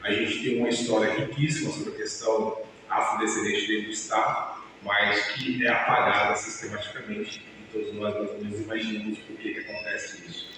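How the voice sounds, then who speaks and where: A man from São Paulo speaks in a fairly low voice. He talks earnestly and restarts his phrases often. He sits well back from the mic in a very reverberant room with a faint hiss behind him. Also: flat delivery, a little fast, bright, forceful tone, far from the mic. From São Paulo, Brazil. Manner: earnest, with frequent "né" tags and restarts. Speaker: activist interviewee. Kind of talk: reflective personal interview.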